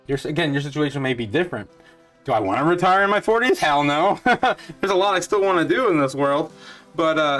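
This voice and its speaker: A man's voice: on the high side, fairly bright tone. A man in his thirties hosting a crypto channel. He walks through a retirement calculator in a loose, rambling way, with many fillers and restarts, and he sounds sure of his views. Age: 30s. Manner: upbeat and certain in his views. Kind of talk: casual talk over a retirement calculator.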